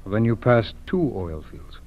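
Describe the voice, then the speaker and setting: An actor playing a calm, businesslike FBI agent with a measured, methodical manner in a 1940s radio drama. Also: male voice, on the low side. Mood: probing. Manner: measured, methodical questioning, reassuring. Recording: tinny, band-limited old radio recording.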